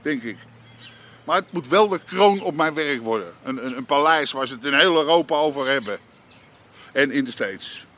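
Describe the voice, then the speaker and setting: An actor playing a gruff, boastful old-school crime boss, coarse in manner, in a radio drama. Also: male voice, fairly high pitch, lively intonation, moderate pace, sharp tone. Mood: boastful, guarded. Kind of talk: naturalistic Dutch radio drama dialogue.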